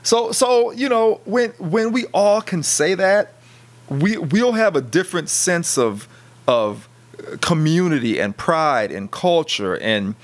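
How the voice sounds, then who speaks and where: A man's voice: fairly high pitch, animated, takes it slowly. A middle-aged man co-hosting a podcast, talking casually with many stammered restarts. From United States. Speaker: podcast co-host. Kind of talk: casual discussion.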